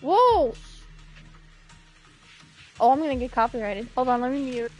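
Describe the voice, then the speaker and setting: A woman's voice: high voice, expressive, unhurried, darkish tone, soft background music. A woman streaming a game, playful and exclamatory as she reacts and chats with viewers.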